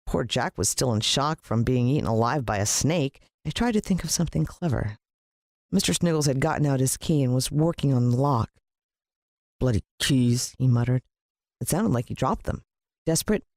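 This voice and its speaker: A woman with an American accent reading a storybook as scripted narration, expressive and suspenseful, with deliberate pauses. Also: rumbling low, no echo, studio quality. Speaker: audiobook narrator.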